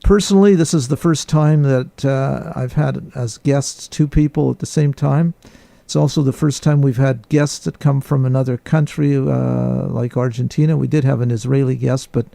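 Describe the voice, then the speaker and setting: A man hosting a radio interview, welcoming listeners and guests in a formal, deliberate way. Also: mid-range voice, steady tone, vocal fry, mellow, no echo.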